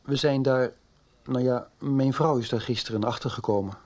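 An actor in a Dutch radio soap playing a pained father, halting and restrained. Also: mid-range voice, fairly even intonation, a little mellow, lightly acted. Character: pained, restrained father revealing a family secret.

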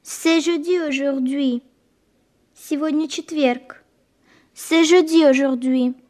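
A woman playing a polite, eager young schoolgirl in a scripted bilingual radio language lesson, speaking carefully and clearly. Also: high-pitched, steady tone, slow, darkish tone. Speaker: pupil character in language programme.

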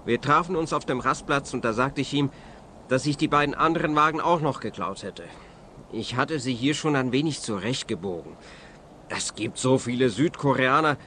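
An actor playing an excitable, defensive small-time crook in a comic radio play. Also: male voice, mid-range voice, moderate pace, a little hiss or hum, natural voice. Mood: agitated, confessing. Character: excitable, evasive crook, protesting his innocence. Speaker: actor playing a murder suspect.

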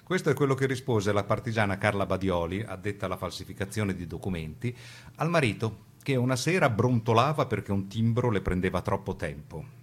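Low-voiced man, formal radio narrator. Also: expressive. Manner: formal, deliberate phrasing. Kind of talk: scripted radio documentary-drama narration.

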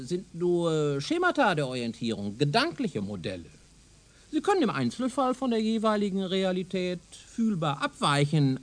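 Zealous professor, high-pitched, swooping delivery. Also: male voice. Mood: patient, insistent. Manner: pedantic, lecturing, insistent. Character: zealous, self-assured male professor of speculative science. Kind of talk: German radio drama acting.

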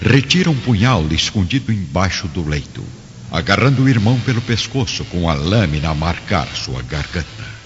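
A man's voice: sits low, very animated, normal speed. A man narrating a radio drama in a solemn, theatrical storytelling style. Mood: dramatic. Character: grave dramatic storyteller of Roman tale. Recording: reverberant, muffled audio with faint music.

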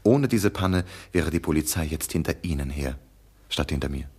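A confident, sharp-witted detective in a radio drama. He has a very low, slightly husky voice with lively swings in pitch. His delivery is dry and accusing. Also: moderate pace, heavily acted.

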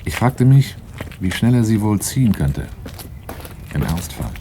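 A wary young man hired as a bodyguard in a 1980s radio play. His voice is low and mellow, speaking plainly in dialogue and narration over a faintly noisy recording. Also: sing-song, a little slow. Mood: reflective narrating.

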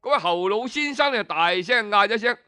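A man with a Cantonese accent telling a story in an animated, colloquial, dramatic traditional style full of interjections. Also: sits high, a little fast, clean tone.

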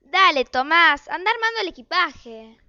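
A woman's voice: very high voice, expressive, moderate pace, pushed and bright, full character voice. A woman with an Argentine accent playing a bossy, harried, thrifty mother of two in an amateur radio play. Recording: dull, lo-fi recording.

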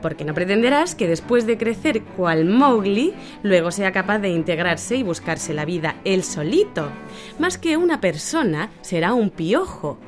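A woman's voice: mid-range voice, very animated, a little slow. A pragmatic, exasperated mother in a satirical radio drama, speaking with a Castilian Spanish accent. Her intonation swings widely as she reasons with dry sarcasm, with faint music behind her. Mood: scathing.

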